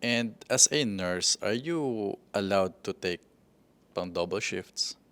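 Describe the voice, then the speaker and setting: A Filipino man with a very deep voice and a Filipino accent, hosting an interview. He asks short, plain questions and mixes Tagalog with English. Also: lively intonation, slow pace, a bit bright, clear, resonant tone. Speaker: interviewer, show host.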